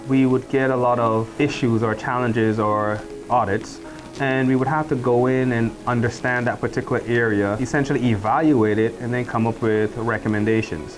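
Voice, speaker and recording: A middle-aged man with a Barbadian accent, a professor and department chair speaking in a faculty profile in a measured, explanatory way. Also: mid-range voice, poor audio. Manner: measured, explanatory, uses "you know" and "and whatnot".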